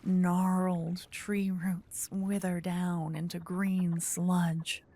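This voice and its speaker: A woman softly narrating a scripted fantasy ASMR story, breathy and often close to a whisper on a binaural mic. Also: fairly low pitch, level tone, extremely slow. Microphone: close binaural microphone.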